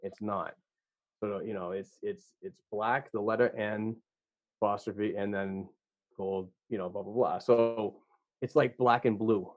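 A man with a Boston accent, a guest on a podcast who rambles eagerly with lots of "uh"s. His voice sits on the low side and comes through a dry, slightly dull remote line. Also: fairly even intonation, unhurried, no room sound. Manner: enthusiastic, rambling, filler-heavy. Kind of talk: casual podcast interview.

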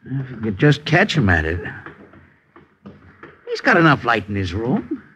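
A man's voice: medium pitch, wildly swooping pitch, a little slow, a bit bright, slight background noise. A man playing a timid, greedy, henpecked husband who speaks hesitantly in folksy American English in a 1950s radio drama. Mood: grumbling. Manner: hesitant, stammering, folksy ungrammatical speech. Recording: muffled old radio recording.

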